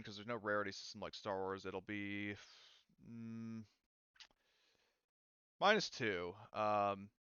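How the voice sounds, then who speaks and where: A man with a fairly low, bright and smooth voice runs a tabletop game. He talks casually, explaining and hedging as he goes. The sound is close and dry. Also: dry recording, dull audio. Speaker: game master.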